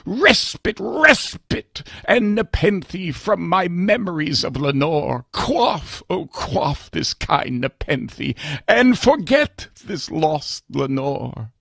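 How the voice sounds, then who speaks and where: Tormented mourner in a gothic story, acted by a male reader, theatrical, desperate, husky and gravelly. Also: sits high, very animated, slow pace, fairly bright tone, no echo, clean studio sound, voice pushed up, heavily acted. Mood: anguished. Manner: theatrical, impassioned, archaic declamation. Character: grieving, haunted man, tormented and desperately pleading.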